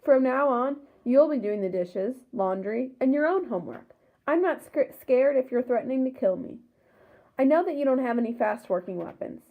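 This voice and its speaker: A woman's voice: high voice, lively intonation, normal speed. A woman reading the part of a defiant freed male slave who leads a rebellion in a storybook, emphatic and earnest. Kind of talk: storybook read-aloud dialogue. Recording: thin, phone-like sound.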